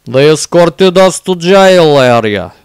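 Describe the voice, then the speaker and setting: A man's voice: on the high side, animated, slow, clear, resonant tone, no room sound, clean studio sound. A man voicing a blunt, simple-minded barbarian warrior who tells a violent fantasy diary in clipped, broken grammar. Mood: gruff, brooding. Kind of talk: in-character diary narration, fantasy audio story.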